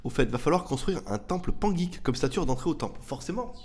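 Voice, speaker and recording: A man's voice: fairly high pitch, sing-song, a little fast, darkish tone. A man hosting a tech channel, chatting loosely through viewer questions with a head cold, rambling and slangy. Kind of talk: casual off-the-cuff chat.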